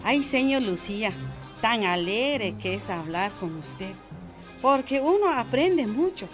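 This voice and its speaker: An actress playing a chatty, cautious village grandmother in a radio soap, with a Guatemalan accent and an expressive, folksy way of talking. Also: a little high, slow pace, soft background music. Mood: cheerful. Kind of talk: radio soap opera acting.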